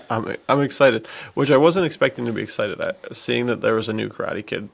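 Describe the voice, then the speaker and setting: A man with an average-pitched voice and a clear gravelly fry. He speaks as a podcast co-host, in relaxed, informal conversation about films. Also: moderate pace. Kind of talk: casual movie chat.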